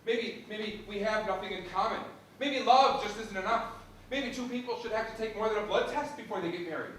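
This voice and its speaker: A woman's voice: mid-range voice, fairly quick, heavy reverb, distant mic, slight background noise, lightly acted, poor audio. A woman acting an exasperated young lawyer husband on stage, with dry sarcasm that turns to pleading. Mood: wry.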